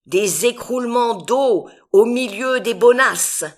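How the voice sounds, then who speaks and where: A woman giving a dramatic, expressive recitation of literary poetry, with deliberate phrasing and long pauses between phrases. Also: on the high side. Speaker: poetry reader.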